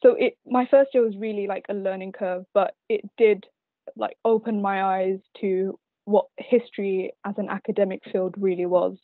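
Young woman, flat-voiced, dull webcam sound. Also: mid-range voice, moderate pace, no room sound. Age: young adult. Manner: hesitant, many "um" and "you know". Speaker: history student panelist. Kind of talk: casual chat on video call. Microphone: laptop webcam on video call. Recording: dull, dry webcam audio.

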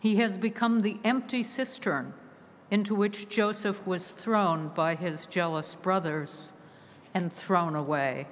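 A woman preaching a solemn sermon from a pulpit, measured and slow, her phrases sinking at the ends. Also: fairly low pitch, slight background noise. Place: large stone church, from a pulpit. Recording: long church reverb heard from afar.

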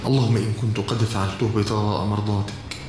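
Male actor as a solemn pious cartoon man praying steadily, low voice, echoing cave sound. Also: steady tone, fast, background noise, close to an everyday voice. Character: pious man recalling honest dealings in a cave. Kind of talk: Islamic children's cartoon dialogue.

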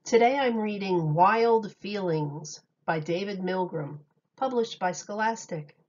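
A woman reading a picture book aloud as a teacher, with simple, deliberate phrasing and questions for young listeners. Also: mid-range voice, muffled recording. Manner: simple, deliberate phrasing addressed to small children.

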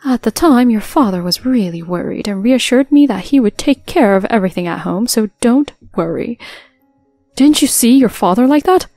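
A woman voicing an older mother-in-law who is gentle with her daughter-in-law and sharp with her son. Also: on the high side, expressive, normal speed, no room sound, faint music behind. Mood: reproachful.

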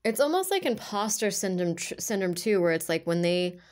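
A woman's voice: mid-range voice, big pitch swings, fairly quick, darkish tone. A woman giving a casual tarot reading, with a stuffy, nasal voice from a sinus infection. She talks earnestly and rambles, full of "like" and restarts. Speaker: tarot reader. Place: indoors at a table with cards on purple cloth.

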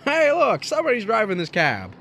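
An actor playing an earnest, flustered, stubborn young small-town man in a radio drama, with a halting, stammering delivery. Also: sits high, huge pitch swings, gravelly, fairly bright tone, a little hiss or hum, heavily acted. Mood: excited, happy. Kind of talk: old-fashioned radio drama acting.

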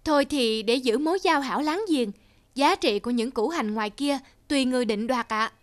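A woman's voice: high voice, brisk, close to an everyday voice. A woman voicing an ingratiating, scheming younger male trader in a cartoon fairy tale, polite and fawning.

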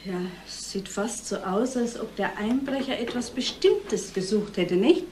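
A woman's voice: fairly high pitch, measured pace, close to an everyday voice. Woman playing guarded niece in radio krimi, matter of fact, slight background noise. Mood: regretful. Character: reserved woman, bereaved niece questioned by police. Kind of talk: German crime radio play.

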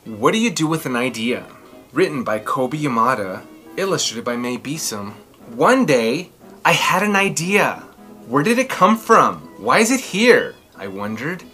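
A man's voice: medium pitch, big pitch swings, unhurried, bright, forceful tone, soft background music. A man in his thirties hosting a children's story time, reading aloud in a theatrical, emphatic way. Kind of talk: expressive read-aloud for children. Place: small furnished room at home, indoors.

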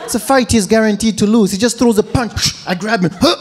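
A young man performing a stand-up set on a club stage into a handheld mic, animated and switching between voices. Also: high voice, brisk, husky, some chatter behind. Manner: animated, slips into Romanian voices. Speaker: stand-up comedian. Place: comedy club stage with live audience.

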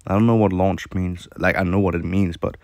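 A man with a sore, hoarse throat, rambling through casual commentary while playing a mobile game, with lots of fillers and restarts. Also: low voice, steady tone, a little fast, a little mellow, no echo, studio quality.

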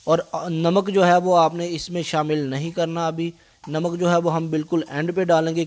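A man's voice: a little high, darkish tone. A man with a Pakistani accent, narrating a recipe as the host of a cooking channel.

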